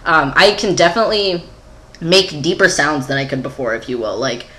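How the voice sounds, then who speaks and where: A man's voice: a little high, a little fast, bright, forceful tone. A young adult man giving a casual, candid personal video update in a rambling, giggly, self-deprecating way. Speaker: vlogger.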